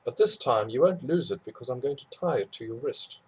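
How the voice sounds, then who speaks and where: A man's voice: medium pitch, lively intonation, a little fast, a little mellow, slightly rough, voice pushed up. A man voicing a cheerful, doting mother with light, reassuring lines in a read-aloud storybook.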